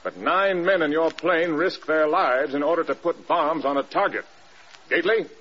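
An actor playing a stern, demanding American air force general in clipped, blunt classic radio drama style. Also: male voice, a little high, moderate pace, fairly bright tone, slight background noise, lightly acted. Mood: brisk, commanding. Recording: dull, band-limited old radio recording.

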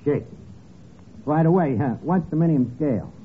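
Man playing a brash, high-pitched New barkeeper. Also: moderate pace, lightly acted. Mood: haggling, sly. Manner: brash, slangy, mangled grammar, deadpan comebacks. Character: wisecracking, tightfisted lowbrow barkeeper, a man. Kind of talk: 1940s radio comedy acting. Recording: tinny, noisy vintage radio broadcast.

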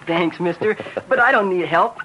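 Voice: medium pitch, normal speed, sharp tone, natural voice. An actor playing an eager, admiring fifteen-year-old rancher's son in an old western radio drama. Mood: admiring, proud. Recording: noisy old radio recording.

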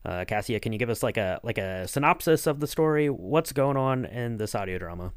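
A man's voice: medium pitch, expressive, fairly quick, clear, resonant tone, no echo. Casual male podcast interviewer, very dry sound.

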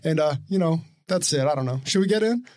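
Male podcast host with a high voice and a dry close recording, chatty and loose, laughs often. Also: expressive, fast, a little mellow, dry recording. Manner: laughing, rambling with many restarts. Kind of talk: casual chat.

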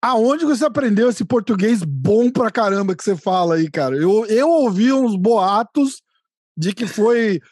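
A man with a Paulista accent hosts a relaxed interview, talking in a chatty, enthusiastic way full of slang. His voice sits high for a man and sounds dry and close, with no room echo. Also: a little slow, slightly rough. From São Paulo, Brazil. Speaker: host. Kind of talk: casual interview chat.

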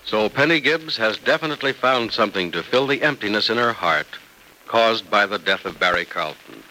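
A man's voice: on the low side, expressive, fairly quick, sharp tone. An American man giving formal, theatrical scripted narration as a 1940s-style radio serial announcer. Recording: noisy vintage radio broadcast recording.

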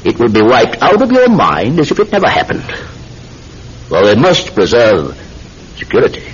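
An actor playing a sinister, controlled hypnotist posing as a polite tobacconist, with deliberate, theatrical delivery in an old-time radio drama. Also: male voice, medium pitch, huge pitch swings. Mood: commanding. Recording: muffled, hissy old radio recording.

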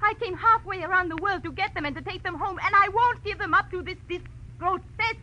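An actress playing a desperate, defiant young woman in an old radio melodrama, breathless and high-strung. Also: fast talker, dull audio. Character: desperate, defiant young woman guarding her father's rubies. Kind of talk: 1940s melodramatic radio acting. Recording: sharp, noisy old radio recording.

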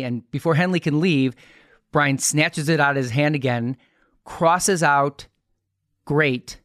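A man hosting a podcast, telling an anecdote and setting up questions in a measured, articulate, conversational way. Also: mid-range voice, dry recording, studio quality. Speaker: podcast host.

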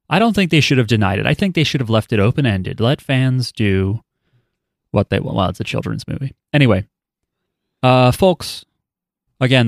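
A man's voice: medium pitch, gravelly, dry recording, studio quality. A man hosting a podcast, chatting casually and reading out listener email with offhand, wry asides. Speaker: podcast host.

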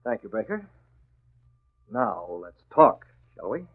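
An actor playing a tough, sardonic masked vigilante in 1940s radio drama style, speaking in clipped, menacing phrases. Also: male voice, on the low side, very animated, slow, slightly rough, full character voice. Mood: sardonic, cool. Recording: muffled, narrow-band old radio broadcast.

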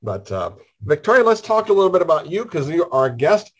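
A man's voice: on the high side, very animated, brisk, breathy edge. Stuffed-up man hosting a casual show. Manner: joking, rambling storyteller. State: stuffed up with a cold. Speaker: host. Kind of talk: casual online talk show chat.

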